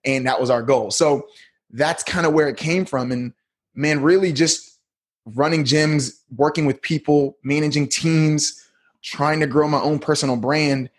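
A man's voice: a little high, steady tone, unhurried, slightly rough. A man in his thirties, a podcast guest, telling his story in a laid-back, slangy way. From United States. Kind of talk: casual interview talk.

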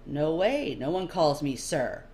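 A woman's voice: low voice, sing-song, unhurried, dark tone. A woman voicing a giant horned male warrior, brash and firm, who questions in a blunt, pointed way in a story reading. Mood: firm, commanding.

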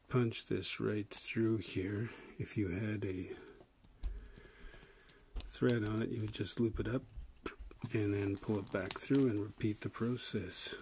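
A man casually demonstrating a pocket knife at a table, relaxed and rambling, with chuckles and filler words. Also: lowish voice. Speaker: channel host reviewing a pocket knife. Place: indoors at a wooden table. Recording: steady background noise with handling clicks.

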